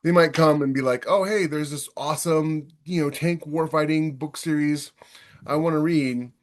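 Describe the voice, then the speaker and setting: A man's voice: on the high side, steady tone, normal speed. A man hosting a casual podcast chat, making his points emphatically and with repetition. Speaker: podcast host and author.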